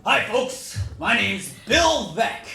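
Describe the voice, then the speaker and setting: A jovial old-time baseball promoter spins folksy tales, acted by a man in a staged reading. The voice is high and bright, heard from a distance in an echoing room. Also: big pitch swings, slow, vocal fry, heavily acted. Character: jovial, boastful old-time baseball team owner and showman. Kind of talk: dramatic reading, first-person monologue. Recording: echoey room from far off, faint noise.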